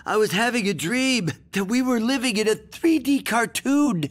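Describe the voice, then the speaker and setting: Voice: mid-range voice, moderate pace, lightly acted. An actor voicing a goofy, teasing, laid-back cartoon pal with a playful drawl. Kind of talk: animated cartoon voice acting. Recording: loud music behind the voice.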